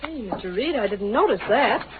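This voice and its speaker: A woman playing a sharp-witted newspaper secretary with dry wisecracks in a 1930s radio drama. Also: a little high, lively intonation, normal speed, narrow, tinny audio, close to an everyday voice. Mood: matter-of-fact. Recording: noisy, thin 1930s radio recording.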